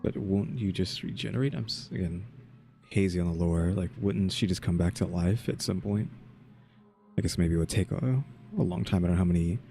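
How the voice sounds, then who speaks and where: A man's voice: low voice, a little fast, vocal fry, mellow. A man doing casual let's play commentary, laid-back and musing aloud as he plays. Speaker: gaming streamer. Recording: game music under the voice.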